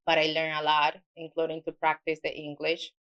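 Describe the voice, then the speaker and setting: A woman's voice: deep voice, completely flat delivery, a little fast, fairly bright tone, highs cut off. A middle-aged woman with a Spanish accent, a native Spanish speaker, telling her work story in a casual interview with small grammar slips. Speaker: guest, mortgage loan officer.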